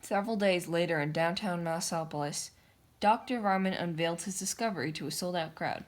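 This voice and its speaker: A woman's voice: fairly low pitch, fairly quick. A woman reading a children's picture book aloud, narrating in a theatrical, emphatic way. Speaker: storybook reader. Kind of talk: dramatic children's story narration.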